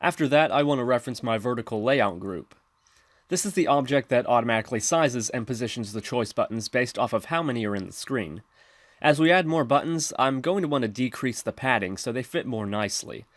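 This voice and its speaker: A man narrating a software tutorial in an instructional, step-by-step manner. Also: mid-range voice, expressive, brisk, no echo. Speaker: tutorial presenter.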